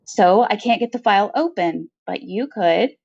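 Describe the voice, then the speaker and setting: A woman's voice: medium pitch, normal speed, creaky, a little mellow, husky, highs cut off. Woman as a terse, desperate female convict. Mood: urgent, persuasive. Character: desperate, wily female convict bargaining for survival.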